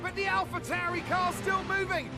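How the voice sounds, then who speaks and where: An excited racing commentator, pitched very high and urgent. He projects as in live sports coverage in a game, with loud music underneath. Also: moderate pace. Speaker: race commentator.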